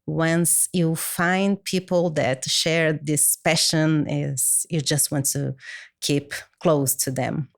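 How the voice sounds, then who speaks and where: A woman's voice: low voice, steady tone, slow, vocal fry. A woman from Brazil with a Brazilian Portuguese accent, a native Portuguese speaker, talking with enthusiasm and gratitude as a podcast guest. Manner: enthusiastic and grateful. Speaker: guest designer. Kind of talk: podcast interview.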